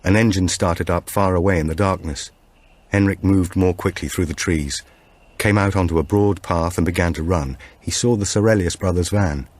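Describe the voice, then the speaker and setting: A male audiobook narrator with a notably deep voice. He reads scripted suspense fiction as a storyteller. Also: normal speed, darkish tone.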